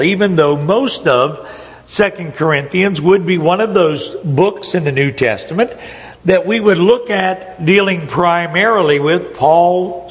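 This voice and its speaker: A man giving a sermon to his congregation, his voice a little hoarse, speaking conversationally with frequent pauses and fillers. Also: a little high, long reverb tail, lo-fi sound. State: slightly hoarse. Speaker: preacher. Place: church sanctuary.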